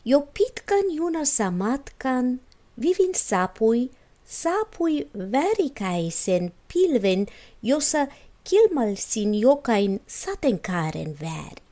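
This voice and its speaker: A woman reading a children's fairy tale as an expressive storyteller. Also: a little high. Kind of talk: expressive scripted storybook narration.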